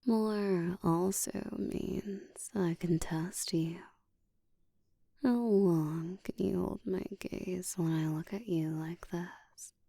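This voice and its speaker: Actress as commanding female vampire for roleplay audio: very slow, breathy whisper, dark low tone, teasing control. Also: steady tone, dry recording, heavily acted.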